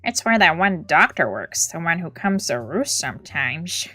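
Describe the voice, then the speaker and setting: A woman's voice: fairly low pitch, sing-song, normal speed, bright, forceful tone, no room sound, soft background music, heavily acted. A woman voicing a smug, precise young man who loves bombs and intel, reading visual novel dialogue aloud. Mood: thoughtful. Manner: precise, polite, self-assured. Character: smug, precise young male bomb enthusiast and informant.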